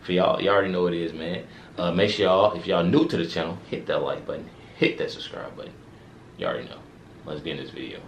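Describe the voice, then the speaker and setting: A man's voice: sits low, fast, reverberant, noisy background. A young man hosting a reaction video, chatting casually in a slangy, laid-back way with lots of fillers. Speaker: reaction channel host. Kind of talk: casual reaction vlog chat. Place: small indoor room with records on the wall.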